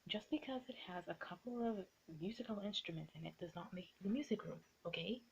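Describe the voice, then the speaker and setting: A woman plays a bossy young female art student who likes to tease. Her delivery is coy and often half-whispered, in an improvised ASMR roleplay style. Her pitch sits in an ordinary female range, over a faintly noisy recording. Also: fast talker, gravelly, dull audio, lightly acted. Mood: curt, exasperated.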